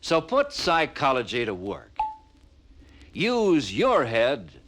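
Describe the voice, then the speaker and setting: An American man narrates like a vintage fifties training film. His pitch swings dramatically as he presses each point with punchy, persuasive phrasing and pointed rhetorical questions. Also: huge pitch swings, takes it slowly, fairly bright tone, a little hiss or hum. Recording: vintage 1950s film soundtrack.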